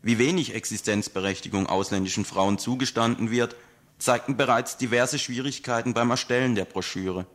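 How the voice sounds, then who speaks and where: A man narrating a scripted radio report in a measured, emphatic way. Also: medium pitch, a bit bright.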